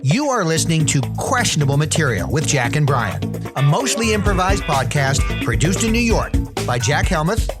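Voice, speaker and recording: A man co-hosting a comedy podcast, reading a parody ad and the show intro in a measured announcer style. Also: a little high, sing-song, loud music behind the voice. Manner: smooth, measured announcer delivery. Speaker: podcast co-host. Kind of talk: scripted announcer read.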